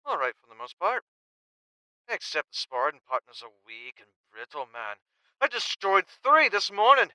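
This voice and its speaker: Male actor as cocky male prizefighter, high forceful voice, loud, blunt, foul-mouthed, audio drama. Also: huge pitch swings, normal speed, clear, resonant tone, no echo, exaggerated voice, rough recording. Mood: boastful, worked up. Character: brash, foul-mouthed, hard-drinking male champion fighter.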